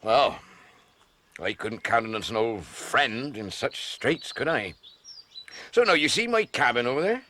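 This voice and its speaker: A male actor plays a hot-tempered, hard-drinking sea captain in an audio drama. His pitch is mid-range but swings widely as he blusters. He sounds gruff, suspicious and dangerous. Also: expressive, measured pace, pushed and bright, a little hiss or hum. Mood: falsely friendly. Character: menacing, drunken ship's skipper with a vile temper.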